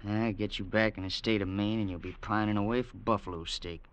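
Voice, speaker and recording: An actor playing a wry, homesick cavalry trooper with a folksy, sardonic manner in a 1950s radio drama. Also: male voice, fairly low pitch, fairly even intonation, fairly quick, natural voice. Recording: old radio broadcast, faint noise.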